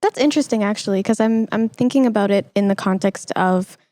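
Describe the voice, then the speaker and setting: A woman's voice: medium pitch, fairly quick, warm tone, no echo. A woman hosting a podcast, chatting casually and encouragingly with a guest in an engaged interview style. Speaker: podcast host.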